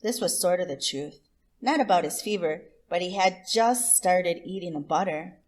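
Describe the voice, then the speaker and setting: A woman reading a picture book aloud to children as host, expressive, with deliberate emphasis on words. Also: fairly low pitch. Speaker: children's story reader and host.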